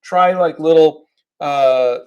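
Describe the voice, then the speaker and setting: A middle-aged man hosting an art livestream, chatting in a relaxed, halting way with fillers while he paints. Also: on the high side, clear, resonant tone, lo-fi sound. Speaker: artist and livestream host. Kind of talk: casual chat while painting.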